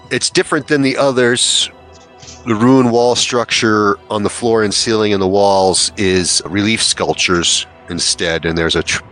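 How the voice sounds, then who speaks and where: Man, game master, low pitch, tabletop narration, faint background music. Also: fairly bright tone. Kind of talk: narrating and refereeing a tabletop role-playing game. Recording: music playing under the voice.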